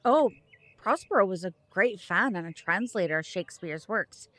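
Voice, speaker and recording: A composed, knowing mother in an audio drama. She speaks in a measured storyteller's way at a middling pitch. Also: expressive, a bit bright. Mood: storytelling. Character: composed, knowledgeable mother from a magical family.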